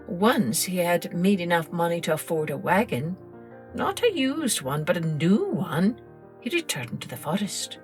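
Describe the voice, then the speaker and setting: A woman narrating a children's story in an expressive, scripted storytelling style, like a podcast host. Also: on the low side.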